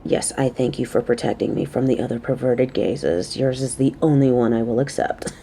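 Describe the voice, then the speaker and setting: Woman acting a playful, motherly coworker with mellow voice. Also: very deep voice, steady tone, normal speed, gravelly, a little hiss or hum. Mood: flirty teasing.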